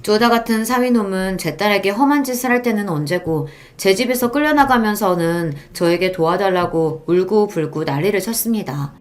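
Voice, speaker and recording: A woman's voice: mid-range voice, moderate pace, hardly any pauses, faint music behind. A woman reading a scripted first-person story in a dramatic radio-story style. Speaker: story narrator.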